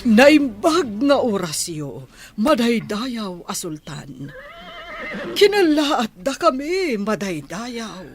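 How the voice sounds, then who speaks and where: A woman with an Ilocano accent playing a dignified village noblewoman and mother in a radio drama, measured and formal. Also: a little high, big pitch swings, slight background noise. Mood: formal, respectful.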